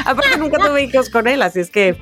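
A woman's voice: high-pitched, lively intonation, moderate pace, a bit bright. A woman co-hosting a podcast, telling personal stories casually and expressively, quoting remembered dialogue as she goes. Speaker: podcast co-host.

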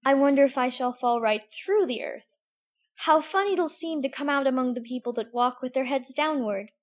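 Woman doing a young girl, high-pitched, prim, wondering, chatty self-talk, audiobook. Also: moderate pace, lightly acted. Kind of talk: full-cast audiobook acting.

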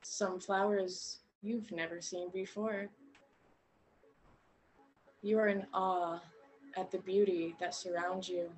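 A woman guiding a meditation in a gentle, unhurried way, leaving long pauses between short phrases. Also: on the low side, flat delivery, poor audio. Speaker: meditation guide. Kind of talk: guided meditation narration.

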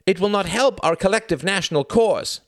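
A man playing a frantic bald politician, high strained pleading voice, loud, wide swings; paid desperation. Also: expressive, a little fast, no room sound, studio quality. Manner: desperate, overwrought speechifying.

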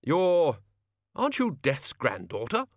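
A man voicing a blustering older male wizard who heads a university, speaking in a bluff, drawn-out way. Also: a little high, huge pitch swings, no echo, clean studio sound, pitched above the performer's own voice, heavily acted. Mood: gruff.

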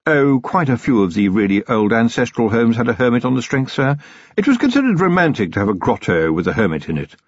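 A man voicing a polite, composed male butler who speaks in a measured, deferential way. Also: medium pitch, very animated, no room sound, voice pushed up. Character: calm, proper male butler. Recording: dull, dry, lo-fi recording.

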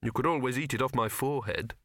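A mild young man who speaks politely and evenly, his humor kept dry, voiced by a male narrator. A lively, bright reading in a dry, close recording. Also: mid-range voice, quick pace, dry recording, natural voice.

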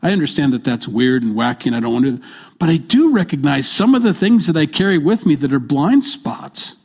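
An older male pastor delivers a sermon in a church, hammering each point home by saying it twice. His voice sits somewhat high for a man, and he drops from loud to soft and back. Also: lively intonation, brisk, creaky, breathy edge. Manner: emphatic, repeats key phrases, swings between loud and soft.

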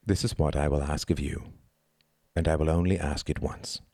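A man voicing a stern, grimly controlled adult man who talks in a firm, measured, authoritative way in a story. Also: sits low, lively intonation, a little mellow, no echo, studio quality. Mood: low, even.

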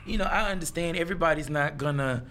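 This is a man in his thirties with a gravelly, raspy texture to his voice that sits a touch above the usual male range. He talks as a songwriter guest in a relaxed interview, thinking aloud and circling back on his words as he goes. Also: on the high side, a little hiss or hum. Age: 30s. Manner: reflective, halting, with many restarts. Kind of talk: casual interview.

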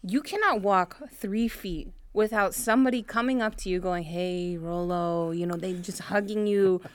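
Young woman, podcast co-host, enthusiastic, clear voice, dry close sound. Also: medium pitch, moderate pace, no room sound. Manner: enthusiastic, admiring. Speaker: podcast co-host, medical student. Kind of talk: casual interview chat.

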